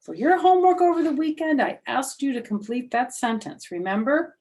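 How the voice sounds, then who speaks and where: A woman's voice: high voice, sing-song, normal speed, a little mellow, pitched above the performer's own voice. Storybook male teacher, woman performer: patient, weary, polite, instructing, a touch exasperated. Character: weary, patient male schoolteacher managing a chatty class. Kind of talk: children's storybook character voice.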